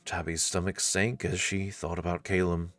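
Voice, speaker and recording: A woman's voice: very deep voice, little pitch movement, moderate pace, soft background music. A woman narrating a visual novel in a scripted storytelling style.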